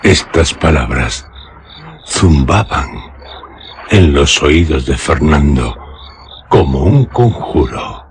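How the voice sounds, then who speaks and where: A man narrating a literary tale for radio theatre in a solemn, deliberate manner with dramatic pauses. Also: extremely low pitch, lively intonation, a little hiss or hum. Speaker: radio storyteller.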